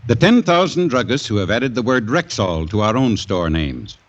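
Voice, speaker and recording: A man's voice: mid-range voice, lively intonation, normal speed, lightly acted. A man playing an earnest, trustworthy neighborhood pharmacist as sponsor spokesman in a 1940s radio commercial, on a lo-fi recording. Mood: earnest, assured.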